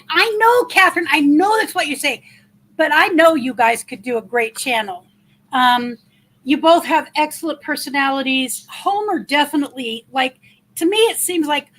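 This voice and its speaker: Middle-aged lady w a big loud high voice, rambly chatty livestream host. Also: low-quality recording. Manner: chatty and rambling, warmly thanking viewers by name. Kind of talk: casual livestream chat greeting viewers.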